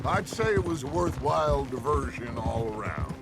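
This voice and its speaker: An actor playing a wry, well-spoken outlaw in a western video game, with a wordy way of talking. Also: male voice, mid-range voice, expressive, moderate pace, natural voice. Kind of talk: naturalistic video game acting.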